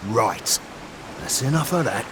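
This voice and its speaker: A man playing a gruff sailor. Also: medium pitch, expressive, a little slow, noisy background, close to an everyday voice. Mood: scolding. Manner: blunt and hearty, with coarse slang. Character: gruff salt-of-the-earth male sailor, adult. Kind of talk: video game cutscene voice acting.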